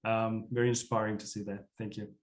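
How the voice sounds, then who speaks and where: A man's voice: lowish voice, level tone, fairly quick, roomy sound. A man hosting an online community meeting, welcoming and thanking people in a casual, appreciative, informal way. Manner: appreciative, informal, chuckling often. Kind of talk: casual welcoming moderation of a video call.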